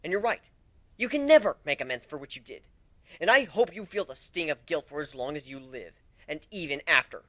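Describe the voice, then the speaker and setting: A man's voice: on the high side, expressive, fairly quick, no echo. A man voicing an embittered, hot-tempered male griffin captain in a story, with emotional delivery. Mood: cold fury. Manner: emotional, swings from muttering to shouting.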